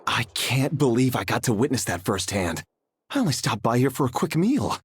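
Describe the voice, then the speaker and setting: A man's voice: mid-range voice, very animated, moderate pace, slightly rough. A man playing an amazed, pleased everyday diner in a video game. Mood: delighted.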